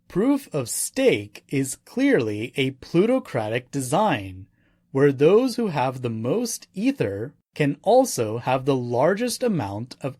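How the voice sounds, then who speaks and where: A man narrates non-fiction from a script in a measured, emphatic way. His pitch moves in lively swings while the delivery stays slow. The sound is dry and close, with no room around the voice. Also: no echo. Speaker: audiobook narrator.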